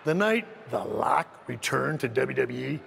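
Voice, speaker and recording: Condescending faction leader, very gravelly, measured and stern, game cutscene drama, slight background noise. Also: male voice, mid-range voice, expressive, a bit bright, heavily acted. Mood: scornful lecturing. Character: scheming, condescending male wrestling faction leader.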